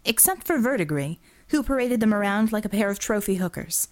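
A woman's voice: mid-range voice, lively intonation, a little fast, a little mellow. A woman reading a scripted work of fiction as an audiobook narrator.

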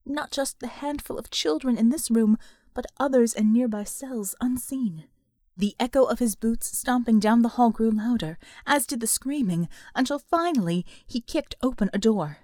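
A woman reading scripted fiction as an audiobook narrator, with measured, suspenseful, dramatic delivery. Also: a little high, a little mellow, no room sound, studio quality.

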